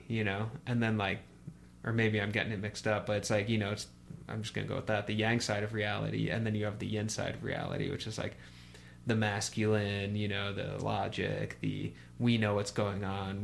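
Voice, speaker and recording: A man co-hosting a casual podcast, talking in a halting, informal way with lots of conversational hedging words. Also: on the low side, level tone, gravelly. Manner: halting, informal, heavy use of "like" and "you know". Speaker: podcast co-host. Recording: faint hum and background hiss.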